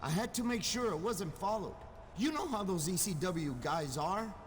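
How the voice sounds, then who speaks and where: An actor playing a cocky, scheming pro wrestler in a video game, with streetwise, slangy delivery. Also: male voice, high voice, expressive, normal speed, in a large hall, slight background noise. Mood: sly, casual.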